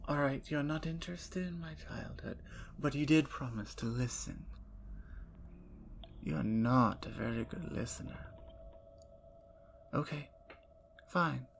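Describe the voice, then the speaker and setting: A woman voicing a playful, talkative female vampire in an ASMR roleplay, teasing and dropping into soft whispers. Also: a bass voice, slow, gravelly, pauses often, slight background noise, exaggerated voice. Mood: bitter.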